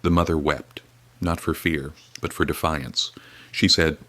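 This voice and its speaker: An actor playing a weary, grim radio reporter, speaking in a solemn, measured, grave way. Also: male voice, a bass voice, steady tone, a bit bright, no room sound, heavily acted. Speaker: field reporter. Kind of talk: dramatic period radio reporting.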